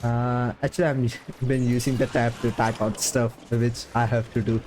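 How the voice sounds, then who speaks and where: A man's voice: medium pitch, little pitch movement, normal speed, a little hiss or hum. Chatty man streaming a game. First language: not English. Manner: rambling and chatty, with many fillers and soft laughs. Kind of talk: casual chat while live-streaming a game. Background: game music.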